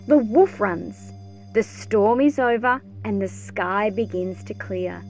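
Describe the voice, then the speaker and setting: Woman, storybook read-aloud, lively intonation, unhurried pace, expressive scripted narration. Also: fairly high pitch. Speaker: picture book reader. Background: background music.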